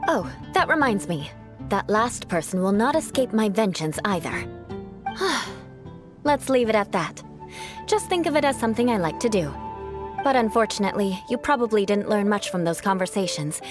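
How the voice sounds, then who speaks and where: A woman voicing a proud young aristocratic knight, formal and measured, in a video game. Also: medium pitch, sing-song, over music, lightly acted. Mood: composed, instructive. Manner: haughty, formal, measured phrasing.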